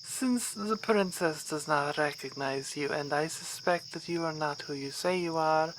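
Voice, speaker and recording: A woman acts out a haughty, courteous vampire baroness for an ASMR roleplay. Her voice sits a little low and she takes her time over formal, knowing phrases. Faint noise and night insects sit behind her. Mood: coolly probing. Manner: formal, courtly, measured wording.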